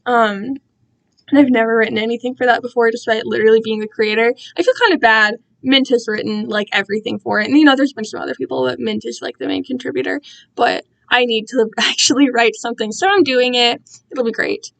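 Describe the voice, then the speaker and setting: High-voiced, rambling young woman chatting casually. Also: steady tone, a little fast, vocal fry. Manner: rambling, self-deprecating, giggly internet slang. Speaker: amateur writer reading own fan fiction. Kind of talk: casual livestream chat.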